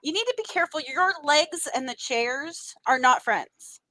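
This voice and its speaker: A woman's voice: high voice, expressive, moderate pace, sharp tone, dry recording. Giggly, chatty woman co-host. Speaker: podcast co-host. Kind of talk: casual chat.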